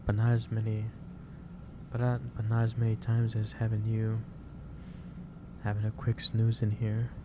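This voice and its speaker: A man playing a gentle, caring office boss in an ASMR roleplay, speaking haltingly with repeated phrases over a noisy background. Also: lowish voice, monotone, close to an everyday voice. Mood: gently concerned. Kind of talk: soft-paced ASMR roleplay monologue. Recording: clearly noisy background hiss or ambience.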